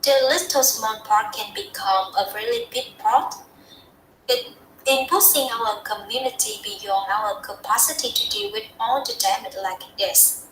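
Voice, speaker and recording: A young woman from Vietnam speaks English with a Vietnamese accent. Her voice is bright and crisp, and she talks in an earnest, heartfelt way, sometimes restarting a phrase. She sounds like she is in an echoey room, with a faint hiss behind her. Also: medium pitch, a little slow. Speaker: student speaker. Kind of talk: practice talk in a TED style.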